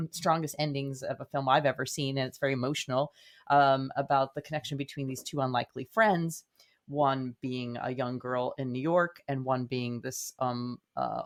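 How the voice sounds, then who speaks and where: A woman's voice: very deep voice, fairly bright tone. A woman talks conversationally as a guest in an interview. She is effusive and leans on her words with emphasis, like a writer explaining her craft. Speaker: guest, playwright.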